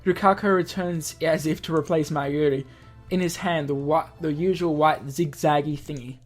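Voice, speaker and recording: A woman reading a visual novel aloud as a let's-play host, in an expressive narrating style. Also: low voice, normal speed, soft background music.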